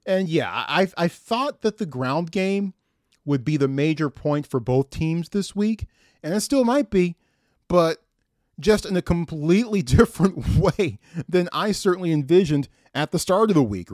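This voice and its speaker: A man's voice: on the high side, darkish tone, no room sound, clean studio sound. A man hosting a sports talk podcast, chatting conversationally and repeating words for emphasis. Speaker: podcast host.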